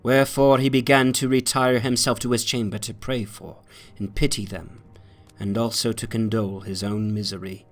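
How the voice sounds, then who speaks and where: A man's voice: fairly low pitch, level tone. Man narrating dramatically over music. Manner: solemn, deliberate phrasing with dramatic emphasis. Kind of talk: dramatic literary reading, scripted narration.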